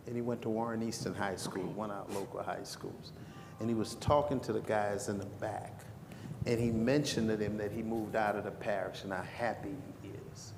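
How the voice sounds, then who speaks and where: A man answers from a conference panel in a loose, conversational way, often circling back and restarting. His voice sits low with a gravelly edge. The sound carries the long reverb of a large hall, with faint noise underneath. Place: conference breakout room. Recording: echoey hall with background noise.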